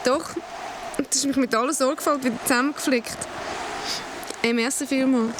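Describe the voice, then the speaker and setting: A woman's voice: sits high, steady tone, normal speed, noisy background, lightly acted. An actress voicing a brave, earnest female talking parcel with a Swiss accent in a children's radio play. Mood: grateful, relieved. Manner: earnest, encouraging.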